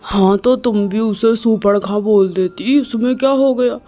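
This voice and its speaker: A woman voicing a calm, sensible older husband who gently scolds his wife, in a storybook tale. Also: fairly high pitch, measured pace, soft background music, lightly acted. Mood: amused.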